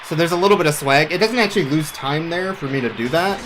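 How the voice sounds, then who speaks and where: A man's voice: a little high, fast. A man giving casual, explanatory live commentary as he plays through a game, with a wry streak. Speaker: speedrunner. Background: game music.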